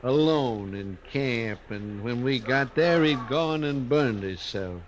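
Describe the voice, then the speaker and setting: A callous buffalo-hide boss in an old radio western. He drawls slowly and evasively, brushing off questions without a shred of care. Faint broadcast hiss sits under the voice. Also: mid-range voice, lively intonation. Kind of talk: 1950s radio drama acting.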